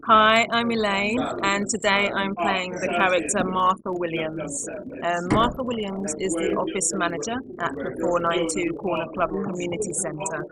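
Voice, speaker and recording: A woman's voice: fairly low pitch, steady tone, moderate pace, low-quality recording. A woman giving a short, informal introduction of herself and a role. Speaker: radio drama actress. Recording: loud chatter of a crowd behind.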